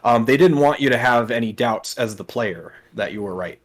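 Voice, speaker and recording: A man's voice: medium pitch, normal speed. A man chatting casually as a let's play co-host, explaining things in a hesitant, thoughtful way. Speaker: let's play co-host voicing game characters. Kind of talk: casual gaming chat. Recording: background game music. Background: game music.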